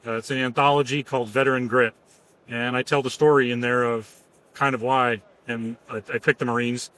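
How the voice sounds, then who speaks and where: An easygoing man from near Philadelphia answers interview questions. He tells his own story plainly and matter-of-factly, in a mid-pitched voice. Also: flat delivery, a little fast, fairly bright tone, rough recording. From Pennsylvania, near Philadelphia. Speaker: guest, company founder and former Marine. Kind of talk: casual interview.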